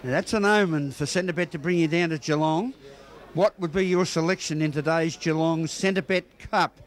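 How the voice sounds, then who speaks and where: Australian man, high-pitched voice, local news presenter, florid wording, interview style. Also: moderate pace.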